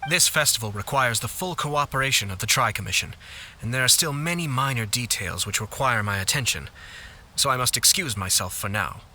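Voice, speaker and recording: Here is a formal, courteous official from a video game. His voice sits at a middle pitch with a very bright, forward edge. He speaks like someone with duties to attend to. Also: lively intonation, a little fast. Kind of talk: English game voice acting.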